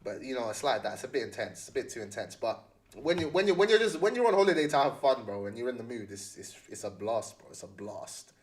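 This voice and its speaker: A man's voice: mid-range voice, big pitch swings, quick pace. A young man with a London accent chatting casually to livestream viewers, slangy and often repeating phrases for emphasis.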